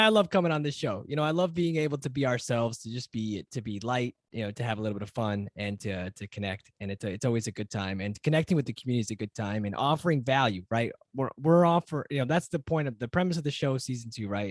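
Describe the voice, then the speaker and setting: A man in his thirties co-hosting a casual podcast over a video call from a small home room, speaking through a boom-arm desk mic, upbeat and quick to laugh. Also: dry recording. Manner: upbeat, laughs often, many fillers. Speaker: podcast co-host.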